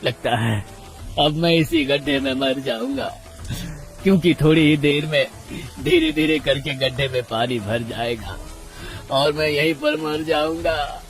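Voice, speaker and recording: A man's voice: a little high, big pitch swings, a little slow, vocal fry, faint music behind, heavily acted. A man playing a greedy village master in a cartoon story, speaking in a dramatic, emphatic way. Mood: despairing. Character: greedy, cruel male village master, self-pitying when cornered. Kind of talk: Hindi cartoon story voice acting.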